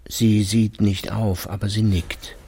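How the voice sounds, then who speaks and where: Casting: middle-aged drinker husband in a radio play, low gravelly voice, wry, deliberate, self-justifying. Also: male voice, level tone, warm tone, no room sound, exaggerated voice. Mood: defensive irony. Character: weary, secretive alcoholic husband, middle-aged intellectual, wryly self-justifying. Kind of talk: radio play interior monologue.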